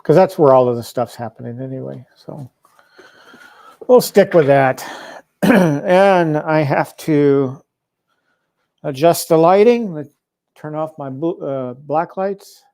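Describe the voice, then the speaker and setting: A man's voice: on the high side, takes it slowly, creaky, long pauses, darkish tone, no room sound. A middle-aged man hosting a casual livestream from his home arcade room, rambling with many restarts. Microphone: lavalier mic.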